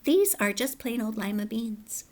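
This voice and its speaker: A woman voicing a kind, gentle old woman healer in a storybook read-aloud, speaking softly and sweetly. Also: on the high side, lively intonation, a little slow.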